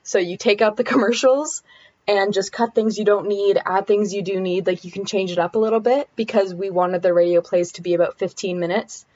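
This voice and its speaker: Teenage girl, student guest, eager giggly answers, lots of fillers, mid pitch, slightly muffled audio. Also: fairly even intonation, a little fast, darkish tone. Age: teens. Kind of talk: interview answers.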